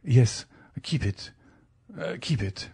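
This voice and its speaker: A man's voice: mid-range voice, lively intonation, takes it slowly, gravelly, a little mellow, breathy edge, exaggerated voice. A man voicing a nervous, flustered male banker in an audiobook, hesitant and trying to keep his composure. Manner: stammering, hesitant. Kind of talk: audiobook character voice.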